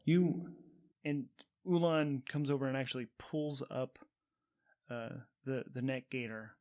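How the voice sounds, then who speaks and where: A man's voice: mid-range voice, steady tone, a little slow, darkish tone. A man hosting and narrating a solo tabletop role-playing game, thinking aloud as game master.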